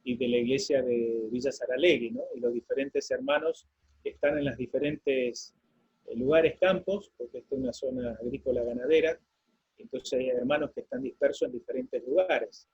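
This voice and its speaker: An Argentine man with a husky voice sends greetings to congregations, halting as he goes. The audio sounds dull and lo-fi. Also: mid-range voice, steady tone, darkish tone. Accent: Argentine Spanish. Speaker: pastor. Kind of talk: greetings on a church lesson program.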